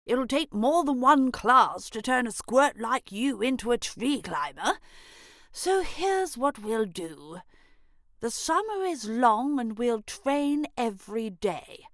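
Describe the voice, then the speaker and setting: A tiny grumpy caterpillar with a gruff, bossy manner, squeaking high and far above a normal voice, as a woman reading a storybook puts him on. The sound is dry and close. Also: very animated, takes it slowly, fairly bright tone, no echo, full character voice.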